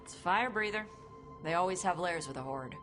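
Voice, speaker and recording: A woman's voice: on the low side, expressive, brisk, music in the background, close to an everyday voice. A woman playing a blunt, confident dragon hunter in a fantasy video game, speaking matter-of-factly. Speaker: voice actor. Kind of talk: video game cutscene acting.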